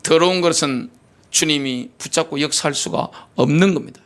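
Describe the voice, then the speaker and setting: A man preaching a sermon as pastor, reading scripture slowly and firmly and putting rhetorical questions to the congregation. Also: a little high, a bit bright.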